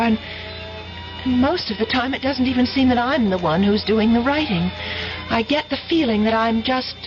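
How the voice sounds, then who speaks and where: An actress playing an earnest, haunted young college student in a 1970s-style radio drama, hesitant and breathless with trailing pauses. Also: female voice, fairly high pitch. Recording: muffled old radio broadcast recording.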